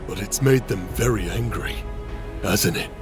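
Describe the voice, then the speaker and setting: A man's voice: on the low side, measured pace, over loud music, lightly acted. An actor playing a cocky, sardonic male fighter in an anime-style audio drama. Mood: smug, mocking.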